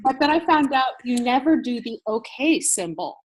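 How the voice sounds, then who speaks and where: An American woman speaks as a podcast guest, a gardening blogger. Her voice sits a bit high, and she talks in an easy, chatty way with small laughs. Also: lively intonation, moderate pace, slight background noise, low-quality recording. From United States. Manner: chatty storyteller, light laughs. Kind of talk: casual interview chat.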